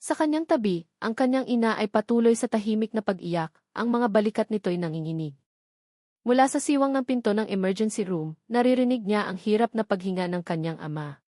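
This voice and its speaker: A woman's voice: mid-range voice, animated, fairly quick, warm tone, no echo, studio quality. A woman reading scripted, dramatic narration of a love story.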